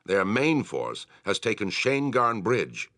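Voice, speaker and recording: An actor playing a gruff, weary town leader and military commander in a fantasy video game, speaking in a stern, formal, authoritative way. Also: male voice, on the low side, big pitch swings, unhurried, a bit bright, no echo, clean studio sound. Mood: grave, formal.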